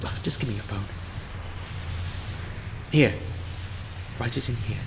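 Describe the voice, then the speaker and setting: A man's voice: medium pitch, vocal fry, slight background noise. A man playing a scheming, self-important male time-meddler in a fan audio drama, speaking with sardonic impatience. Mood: impatient. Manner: sardonic and impatient, slow deliberate delivery.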